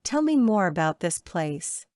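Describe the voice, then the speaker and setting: A woman reads short practice sentences in a measured, careful way, each one repeated. Her voice is smooth and resonant, pitched a little low. The sound is dry and close, with no room around it. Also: very animated, a little mellow, no room sound. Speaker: English phrase reader. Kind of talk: slow repeated drill phrases.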